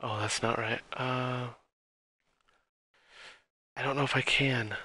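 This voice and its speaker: A man's voice: medium pitch, steady tone, slow pace, bright, forceful tone, no room sound. A man streaming a game live, chatting casually with viewers and answering their chat. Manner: chatty, reading chat aloud, self-aware about volume. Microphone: headset.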